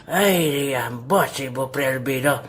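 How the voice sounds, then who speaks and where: A woman's voice: rumbling low, a little slow. A woman voicing a grumbling, teasing old grandfather in an amateur audio drama. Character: grumpy old man, Lolo Duming, coffee-loving.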